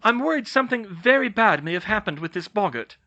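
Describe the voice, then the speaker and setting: Storybook heroine: fierce, commanding young witch, read by a man, raised high and bright. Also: very animated, a little fast, lo-fi sound, heavily acted. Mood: urgent. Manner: intense, declamatory.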